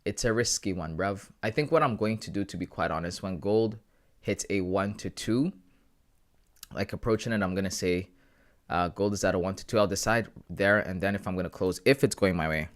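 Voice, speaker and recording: Man, thirties, somewhat low voice, casual slang, laughs a lot, live-streaming trader. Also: fairly quick. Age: 30s. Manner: chatty, slangy, laughs often, addresses viewers. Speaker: livestreaming forex trader.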